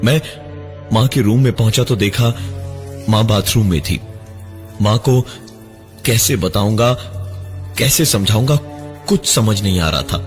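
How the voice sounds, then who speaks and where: A man narrating a scripted first-person horror story in a dramatic, halting, suspenseful manner. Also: fairly low pitch, soft background music. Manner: dramatic, halting delivery with suspenseful breaks and repeated words.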